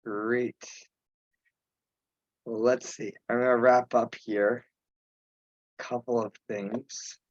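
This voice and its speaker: Male meeting chair, slow and halting, informal delivery, dry but dull conference-call sound. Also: mid-range voice, flat delivery, dry recording. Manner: halting, pausing mid-sentence. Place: remote teleconference.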